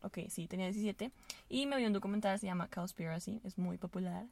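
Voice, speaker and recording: A woman's voice: medium pitch, quick pace, vocal fry, darkish tone, no echo. A young woman from Venezuela, a podcast guest, chatting casually in a rambling, hesitant way with lots of fillers, recorded inside a car. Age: young adult.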